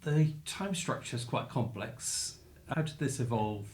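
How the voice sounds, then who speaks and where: A man interviewing an author, asking literary questions in a tentative, halting way. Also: medium pitch, animated, darkish tone.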